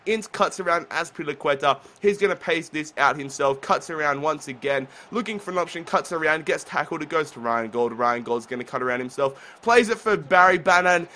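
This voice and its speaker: A young man commentating his football video game play while sick with the flu, talking casually and getting excited at chances. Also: medium pitch. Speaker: gaming commentator.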